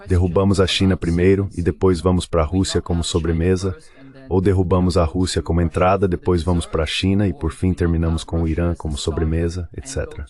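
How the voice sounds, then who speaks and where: A man's voice: sits low, little pitch movement, fairly quick, a little mellow. A man giving political commentary as a panel guest, explaining things step by step.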